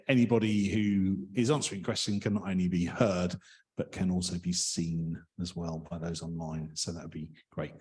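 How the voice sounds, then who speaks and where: A man chairing a members' meeting in a hall, polite and hesitant with many pauses and ums. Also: low voice, mellow.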